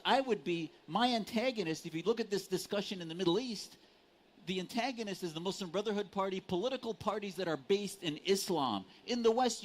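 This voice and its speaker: A man from the United States in a live panel debate, speaking earnestly and insistently with formal vocabulary. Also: sits high. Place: conference stage.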